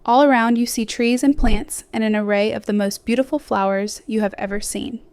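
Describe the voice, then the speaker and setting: Clear, smooth woman guiding sleep meditation. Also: a little high, steady tone, no room sound. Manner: slow, gentle, soothing delivery with soft phrase endings. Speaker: hypnosis guide. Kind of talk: guided sleep meditation, scripted hypnosis narration.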